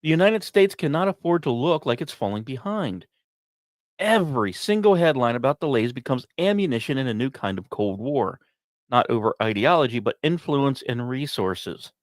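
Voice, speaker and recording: A man hosting a podcast, reading scripted narration in a dramatic storytelling manner with pauses and wry, casual asides. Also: mid-range voice, sing-song, no echo, clean studio sound. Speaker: podcast host.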